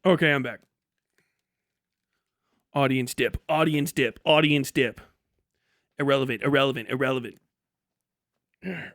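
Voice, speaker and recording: A man's voice: mid-range voice, a little slow, long pauses, a bit bright, no echo, clean studio sound. A man hosting a livestream in casual, rambling, sweary chat. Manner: profane, rambling. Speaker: livestream host.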